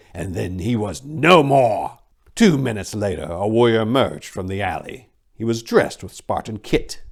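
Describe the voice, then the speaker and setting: A man's voice: medium pitch, gravelly. A man narrating in a theatrical, emphatic way, like a dramatic reading. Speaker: narrator and host.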